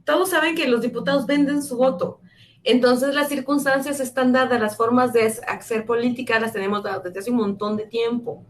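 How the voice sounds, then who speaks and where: A woman who grew up in El Salvador, co-hosting a casual political chat with sarcastic jokes and quick restarts. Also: fairly high pitch, steady tone, slight background noise. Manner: sarcastic, jokey, fast-talking with restarts. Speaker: co-host.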